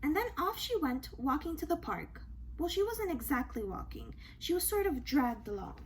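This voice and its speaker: A woman reading a children's story aloud in an expressive, dramatic way, with emphatic questions and asides. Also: high voice, normal speed, slight background noise, poor audio. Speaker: storybook reader.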